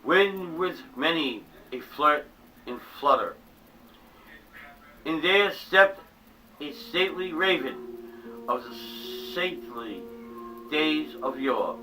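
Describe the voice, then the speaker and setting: A man giving a theatrical, dramatic reading of a poem, dropping to hushed tones and sometimes stumbling and restarting. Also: on the high side, animated, slow pace, long pauses. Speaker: reader of a poem. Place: small home museum room. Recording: distant mic with eerie music behind.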